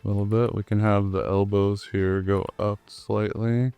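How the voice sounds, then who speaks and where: A man with a hoarse voice, recovering from the flu, explaining his animation work step by step with many ums and uhs. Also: fairly low pitch, level tone, moderate pace, mellow, no room sound, soft background music. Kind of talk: screen-recorded tutorial walkthrough.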